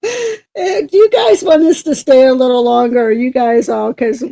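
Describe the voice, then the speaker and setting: Loud, talkative middle-aged woman. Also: sits high, normal speed, gravelly, no echo. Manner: self-described talker, loud and projecting; rambling with many restarts. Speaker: co-host of a paranormal talk show. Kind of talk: casual livestream chat.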